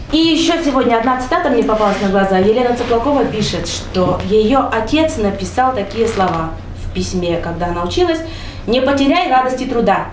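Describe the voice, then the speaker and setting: A woman hosting a library club meeting, reciting poetry and giving closing remarks with a measured, recital-like delivery. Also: mid-range voice, darkish tone. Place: library meeting hall, indoors. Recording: echoey hall with clear background noise.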